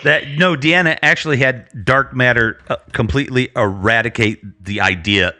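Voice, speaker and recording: A man hosts a relaxed, informal livestream conversation. His voice sits at an average pitch for a man and has a bright, forceful edge. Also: normal speed. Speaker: livestream host. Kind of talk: casual chat.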